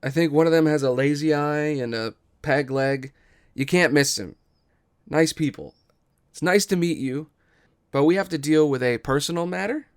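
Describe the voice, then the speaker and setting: A man's voice: fairly high pitch, moderate pace, clear, resonant tone, no room sound, studio quality, natural voice. A man voicing a glib, evasive young brother in a table read, casual and hesitant. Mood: breezy, evasive. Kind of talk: table read of a musical script.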